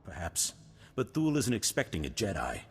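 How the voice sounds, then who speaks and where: An actor playing a noble, resolute duke in a video game, husky, formal and stately in delivery. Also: male voice, lowish voice, huge pitch swings, fairly quick, full character voice. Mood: grave, determined. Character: noble, resolute older duke, solemn war leader. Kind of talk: cinematic video game voice acting. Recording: long hall reverb, music behind.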